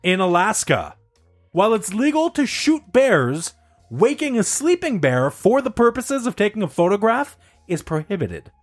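A man with a fairly high voice that swings widely up and down as he hosts a loose quiz among friends. He laughs often and keeps the banter playful and animated. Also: normal speed, soft background music. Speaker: quiz host. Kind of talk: casual podcast banter.

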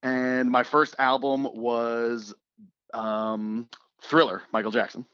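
A man with a slightly raspy voice, a radio producer chatting casually as a guest, relaxed and joking with fillers and restarts. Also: medium pitch, slow, dry recording, muffled recording. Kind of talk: casual interview chat.

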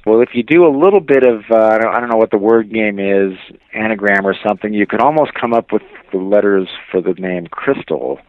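A man being interviewed on a tinny phone-like line, reminiscing casually and pausing to search for words. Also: lowish voice, no room sound. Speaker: interview guest commenting on album tracks.

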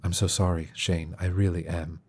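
A man voicing a calm, gentle man with a soft, soothing, unhurried delivery. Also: deep voice. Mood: apologetic.

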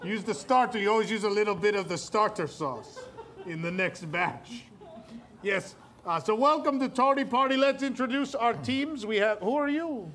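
A man's voice: high-pitched, normal speed, a bit bright, a little hiss or hum. A man hosting a comedy game show in a playful, teasing way, laughing often.

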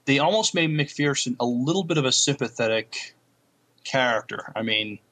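A man with a mid-range voice co-hosts an informal podcast. He works through his thoughts in a halting, reflective manner, as in a casual discussion. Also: medium pitch, animated, fairly bright tone. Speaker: podcast co-host.

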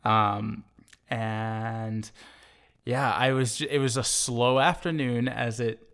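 A man's voice: mid-range voice, fairly even intonation, takes it slowly, a bit bright, smooth. A man, an emergency doctor, telling an interviewer about a hard case with many fillers and restarts. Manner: hesitant, filler-heavy. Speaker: emergency physician guest. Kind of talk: interview, casual storytelling.

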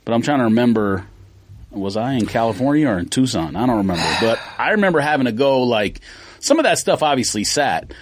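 A man in his forties chatting on a podcast, meandering through his recollections with restarts and fillers. His voice sits at an ordinary middle pitch, and the recording is dry with little room sound. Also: expressive, brisk, husky, no room sound. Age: 40s. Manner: rambling, with many restarts and fillers. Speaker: podcast host. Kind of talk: casual chat.

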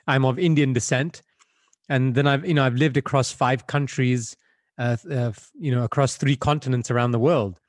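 Man from belgium, interview guest, thoughtful, filler-heavy, mid-pitched, dry close sound. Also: steady tone, normal speed, no echo. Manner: reflective, with frequent "you know" fillers. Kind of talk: conversational interview answers. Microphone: video call.

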